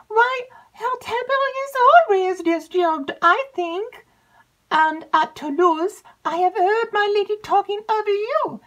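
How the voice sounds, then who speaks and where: A gossiping young maid who rattles on with drawn-out emphasis, as a woman reading the story puts her on. Her voice sits far up at a squeaky height and rings bright and sharp. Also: full character voice. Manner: breathless chatter with drawn-out emphasis. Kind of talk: audiobook character reading.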